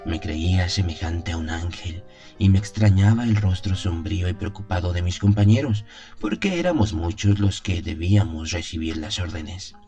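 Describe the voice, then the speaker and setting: A man playing a devout priest who solemnly recalls his innocent youth in a gothic radio drama, in measured, literary phrases. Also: sits low, dark tone. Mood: solemn, fervent. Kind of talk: dramatized first-person gothic story narration. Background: music.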